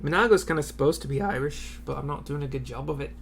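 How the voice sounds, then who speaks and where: A man's voice: a little high, fast talker, vocal fry, a little hiss or hum. A man giving informal, muttered commentary as he plays and reads through a video game. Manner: informal, self-critical, muttering asides. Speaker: gaming streamer. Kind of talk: casual commentary while voicing game dialogue.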